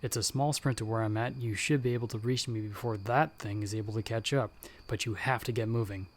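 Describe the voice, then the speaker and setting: A man playing a battle-hardened werewolf hunter in an ASMR audio roleplay, speaking directly to the listener in a measured, dryly understated way. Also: on the low side, steady tone, lightly acted. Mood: urgent, encouraging. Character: battle-hardened male werewolf hunter with healing magic, calm mentor.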